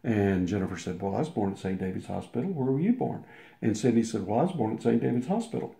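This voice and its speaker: A man's voice: fairly low pitch, lively intonation, fast, vocal fry, dark tone. An older man telling a long personal anecdote as an interview guest, rambling with restarts and acting out the quotes. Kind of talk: casual storytelling. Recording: roomy echo.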